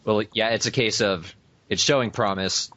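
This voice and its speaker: A man's voice: lowish voice, steady tone, moderate pace, a bit bright. A man co-hosting a fan podcast in casual chat over a voice call. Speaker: podcast co-host. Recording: dull lo-fi internet call.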